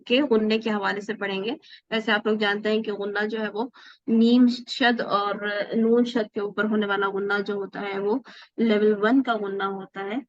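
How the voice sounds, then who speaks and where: A woman's voice: medium pitch, dry recording, lo-fi sound. A woman teaching a Quran recitation class online, patiently explaining rules and asking rhetorical questions.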